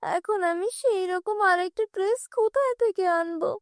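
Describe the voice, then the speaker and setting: A woman voicing a kind, gentle young heroine in a cartoon, speaking softly and earnestly. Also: very high voice, moderate pace, no room sound, studio quality. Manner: soft, earnest. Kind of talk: cartoon dubbing.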